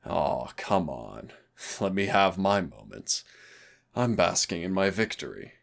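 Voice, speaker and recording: Man voicing a very deep, gravelly teasing demon. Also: big pitch swings, exaggerated voice. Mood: smug teasing. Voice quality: gravelly, low. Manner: slow, smug, teasing, addresses listener directly. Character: teasing male demon roommate, menacing yet tender trainer. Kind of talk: intimate audio roleplay drama.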